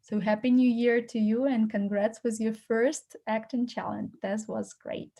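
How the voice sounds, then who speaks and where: A woman's voice: fairly high pitch, fairly even intonation, measured pace, vocal fry, warm tone. A woman hosting as an acting coach, giving encouraging feedback with many fillers and repeated words. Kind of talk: casual feedback on a video call.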